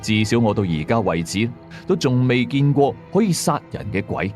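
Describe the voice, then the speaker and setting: A man narrating a scripted first-person horror story in a measured, dramatic way, drawing out the ends of some phrases. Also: medium pitch, big pitch swings, a little mellow, soft background music. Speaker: storyteller of a radio ghost-story series.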